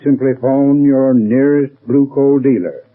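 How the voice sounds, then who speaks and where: A man's voice: mid-range voice, fairly even intonation. A man giving a scripted 1930s radio commercial as a company expert, formal and earnest. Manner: formal, earnest, measured salesmanship. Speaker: radio advertising spokesman, heating expert. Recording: muffled tinny 1930s radio recording.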